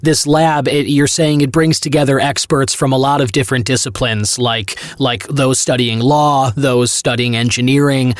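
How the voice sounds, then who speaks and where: A man with a mid-pitched voice hosting a casual podcast interview. He recaps what the guest says and encourages him along. The sound is dry and close. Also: steady tone, moderate pace, few pauses, dry recording. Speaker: podcast host.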